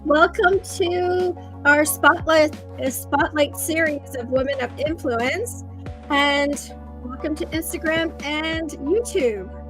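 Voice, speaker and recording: A woman's voice: high-pitched, slow, over music. A woman hosting a livestream interview, bubbly and effusive, with frequent ums. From Canada. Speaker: host.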